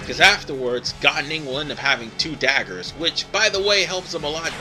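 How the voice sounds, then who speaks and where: A man's voice: a little high, lively intonation, brisk, bright, forceful tone, low-quality recording. A tired man giving casual, rambling let's play commentary, often stopping to correct himself. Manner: rambling, digressive, self-correcting. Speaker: gaming video host. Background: video game music.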